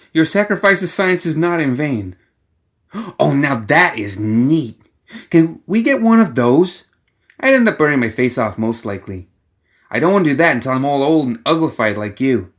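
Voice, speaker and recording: A man voicing a cocky, quirky young gunslinger woman from an audiobook, who chatters in teasing, excitable exclamations. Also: a little high, voice pushed up. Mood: gleeful wonder. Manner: rapid-fire, teasing, exclamatory commentary.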